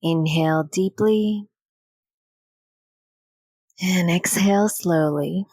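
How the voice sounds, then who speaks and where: Female meditation guide, soothing and gentle, lowish pitch, very unhurried delivery with generous pauses. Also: no echo.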